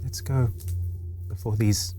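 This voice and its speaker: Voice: medium pitch, fairly even intonation, slow pace, dark tone. A player in a tabletop roleplaying game, talking casually and partly in character. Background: background music.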